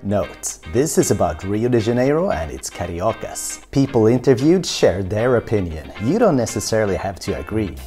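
A calm, measured voice-over narrator giving travel advice. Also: medium pitch, over music. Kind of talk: scripted narration.

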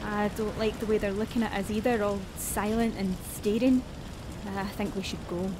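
A woman playing a nervous, spooked police officer in an audio drama, uneasy and hurried. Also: medium pitch, steady tone, lightly acted. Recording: noisy rain behind.

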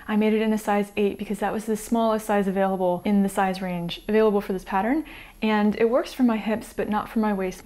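An American woman in her thirties, chatting to camera in a small home room on a lapel mic, light and easygoing, with soft laughs between thoughts. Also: medium pitch. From United States. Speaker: sewing vlogger. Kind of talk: casual chat to camera.

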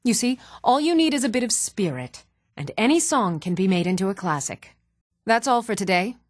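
An actress voicing a composed, earnest woman in a fantasy video game, speaking in a measured, restrained way with clear enunciation. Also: mid-range voice, expressive, no room sound, clean studio sound, natural voice. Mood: pleasant, composed. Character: even-toned adult woman of a fantasy world, composed and earnest. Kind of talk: scripted video game character dialogue.

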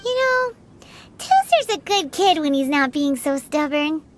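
A woman voicing a tiny, chatty, sassy female fairy companion in a video game, with a squeaky childlike voice and blunt, teasing asides. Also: sing-song, a little slow, background noise. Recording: game soundtrack under the voice. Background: game music.

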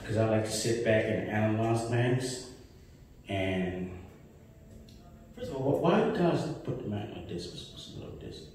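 A young man from Brooklyn, doing a slow, rambling open-mic stand-up set on a small club stage. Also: lowish voice, lively intonation, darkish tone, slight background noise. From Brooklyn, New York. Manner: slow, rambling delivery with many asides and repeated "right?". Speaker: stand-up comedian. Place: small club stage with tiled wall, indoors. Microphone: handheld mic, filmed by a far camera. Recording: echoey room from far off.

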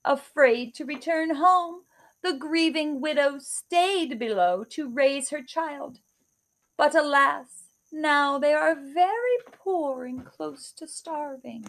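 A woman voicing a kindly old woman who tells a sad tale, slow and gentle. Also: high voice, pitched above the performer's own voice.

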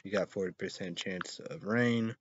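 A man in his thirties hosting a rambling solo online show, reading items out haltingly with repeated phrases and sudden loud outbursts. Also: sits low, breathy edge, dry recording. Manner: halting, spells words out, repeats phrases, bursts into loud exclamations. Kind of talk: rambling solo vlog reading weather and news headlines. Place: small dim room indoors. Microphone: webcam or laptop mic at arm's length. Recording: dull lo-fi webcam audio.

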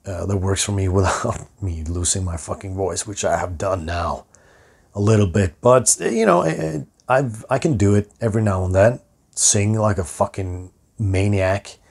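A middle-aged man with a hoarse voice, rambling and swearing through a casual rant about his music to the camera. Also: on the low side. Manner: rambling, profane, self-interrupting. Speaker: metal musician and vlogger.

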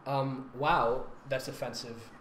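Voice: medium pitch, steady tone, slow, fairly bright tone. A fan fiction reader doing a comic, theatrical read-aloud, with mocking asides and frequent laughter. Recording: roomy echo with faint background noise.

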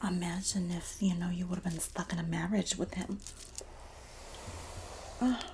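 A woman's voice: lowish voice, level tone, background noise, lightly acted. Whispering, sassy Jersey girl, acted by a woman. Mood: gossipy, indignant. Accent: New Jersey. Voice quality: breathy, whispery. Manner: slow, gossipy, doting, dramatic emphasis. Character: sassy, supportive Jersey girl pampering a friend. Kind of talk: ASMR roleplay, soft spoken and whispering.

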